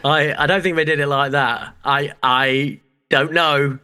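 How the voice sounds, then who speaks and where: A man's voice: on the high side, steady tone, a little slow, sharp tone, slight background noise. An English man co-hosting a podcast, chatting casually with a joking, mock-serious manner and casual swearing. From England. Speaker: podcast co-host. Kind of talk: casual chat.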